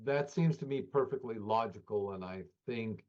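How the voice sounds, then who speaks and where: Male analyst interviewee, unhurried and halting, fairly deep voice, slightly muffled recording. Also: a little mellow. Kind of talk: spontaneous political analysis.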